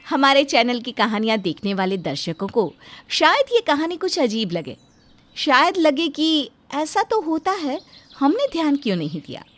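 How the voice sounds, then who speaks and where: A woman's voice: high-pitched, big pitch swings, normal speed, a bit bright. A woman narrator telling a scripted domestic moral tale in a dramatic storytelling style.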